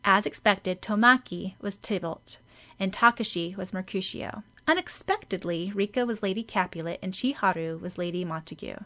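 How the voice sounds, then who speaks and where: A woman's voice: medium pitch, animated, normal speed, pushed and bright. A woman narrating a fan fiction audiobook, reading scripted prose.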